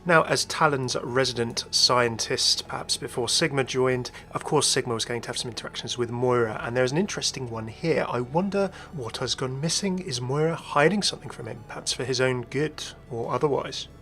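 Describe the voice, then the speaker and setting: Gravelly-edged man presenting game commentary over music. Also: medium pitch, fairly quick, few pauses, bright, forceful tone. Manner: speculative, conversational asides to the viewer. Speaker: host of a video game lore channel. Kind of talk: scripted-sounding video commentary.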